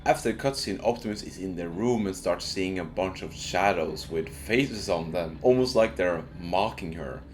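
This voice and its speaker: A man's voice: lowish voice, a little hiss or hum. A man giving a casual, narrated video game review in informal, slangy language.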